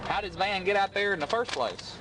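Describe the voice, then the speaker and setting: A man's voice: high-pitched, animated, a little fast, over music, rough recording. A man with a Southern US accent, a state police trooper chatting through a roadside traffic stop and often tagging his questions with "okay". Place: roadside by a highway. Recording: loud traffic noise on a roadside camera.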